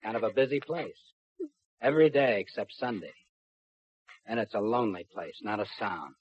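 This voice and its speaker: An actor playing a cruel, cocky kidnapper in a 1950s radio drama, with a rough voice and taunting tough-guy slang. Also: lowish voice, animated, moderate pace. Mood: gloating, taunting. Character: sneering, cruel kidnapper, cocky small-time crook turned big. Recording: muffled 1950s radio recording.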